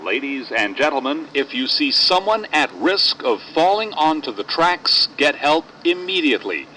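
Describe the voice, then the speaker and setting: A man reads scripted subway passenger announcements in a bright, crisp-edged voice with lively rises and falls. Faint train noise sits behind him. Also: a little high, normal speed, poor audio. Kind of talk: scripted recorded public announcement.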